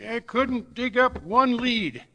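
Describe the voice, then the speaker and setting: A man plays a terse, impatient helper in a vintage radio adventure. His voice is high and bright for a man, over faint background noise. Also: expressive, slow pace, heavily acted. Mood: impatient, brisk. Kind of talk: old-time radio adventure acting.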